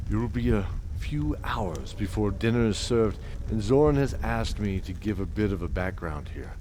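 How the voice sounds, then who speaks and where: Man playing a deep-voiced weary old knight. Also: darkish tone, a little hiss or hum. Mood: genial, reflective. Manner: measured, theatrical, chuckling reminiscence. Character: weary old male knight, devoted, wistful. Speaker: narrator and lead character. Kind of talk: audio drama storytelling. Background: music under the voice.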